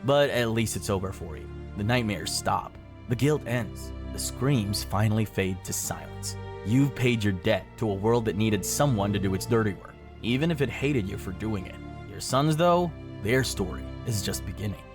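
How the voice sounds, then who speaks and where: A man with a fairly low voice delivers scripted narration addressed to the listener as "you". He is grave and measured, like a dark storyteller. Music plays softly behind him. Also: lively intonation. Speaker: video narrator. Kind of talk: dramatic second-person scripted narration. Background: background music.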